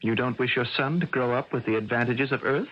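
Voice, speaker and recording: A man's voice: mid-range voice, fairly quick, close to an everyday voice. A man playing a cold, emotionless alien young man in a radio mystery drama, speaking in a formal, clipped way. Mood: coolly insistent. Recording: dull noisy old radio recording.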